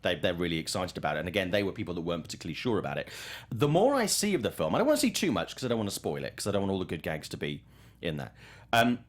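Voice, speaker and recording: A man's voice: low voice, wildly swooping pitch, racing. A man hosting a casual panel chat about films as a journalist. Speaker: talk show host and film journalist.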